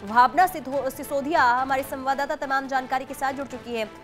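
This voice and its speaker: A woman's voice: high voice, steady tone, brisk. A woman anchors breaking news live. She speaks urgently, cautioning and restating her points as she goes. Faint music plays behind her.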